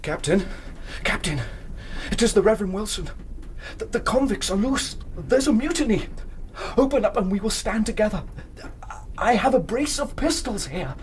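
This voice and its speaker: A man's voice: high-pitched, expressive, normal speed. An actor in a radio drama playing a sly, two-faced convict posing as an unctuous clergyman. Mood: feigned panic. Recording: noisy radio drama scene.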